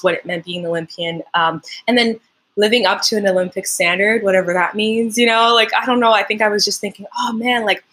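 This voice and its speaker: A woman's voice: fairly low pitch, fairly bright tone, poor audio. Chatty young woman from Canada. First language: French. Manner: chatty, upbeat, many fillers. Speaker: Olympic track athlete, guest. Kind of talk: casual interview storytelling.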